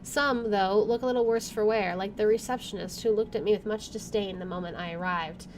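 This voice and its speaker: A woman hosting a gaming video, reading visual novel narration aloud in an expressive way. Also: mid-range voice, a little fast, slight background noise. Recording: faint background game ambience.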